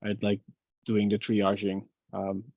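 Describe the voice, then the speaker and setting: A man's voice: lowish voice, completely flat delivery, takes it slowly, a little mellow, no echo, dull audio. A man thinking aloud in an online technical meeting, heavy on fillers like "like" and "um". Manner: thinking aloud, filler-heavy, laughs at his own slips. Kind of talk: casual technical meeting discussion. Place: online video call.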